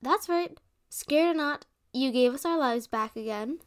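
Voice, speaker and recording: A woman's voice: high-pitched, a little slow. A woman playing a protective, playful resurrected witch in an ASMR roleplay, speaking in a sweet, theatrical way. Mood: reassuring.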